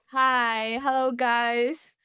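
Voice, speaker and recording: A young woman from Inner Mongolia, a native Mongolian speaker, talking as a podcast guest and pausing often with "uh". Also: on the high side, level tone, sharp tone, clear, resonant tone, no room sound, clean studio sound. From Inner Mongolia, China. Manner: hesitant, many "uh" pauses, laughs lightly. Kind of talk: casual interview talk.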